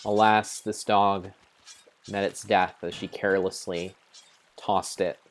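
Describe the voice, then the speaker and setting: A man's voice: sits low, level tone, slow. A man giving a solemn, theatrical mock-dramatic narration of a video game, as if reading a grand storybook. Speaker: streamer narrating his game play.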